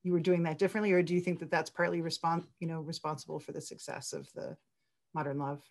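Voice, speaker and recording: A woman's voice: low-pitched, little pitch movement, fast, darkish tone. A woman talking as a writer on a discussion panel, effusive and chatty, wandering into asides as she puts questions.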